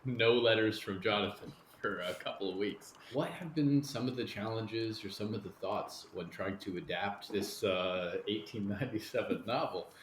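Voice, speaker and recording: Lowish-voiced male host in a roomy space. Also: normal speed, few pauses. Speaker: interview host. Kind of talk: conversational interviewing. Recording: roomy echo, faint background noise.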